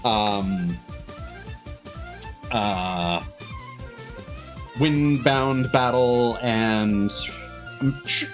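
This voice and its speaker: A man chatting casually as he streams a game, rambling and self-deprecating, with some swearing. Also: lowish voice. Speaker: live streamer. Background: cartoonish upbeat game music.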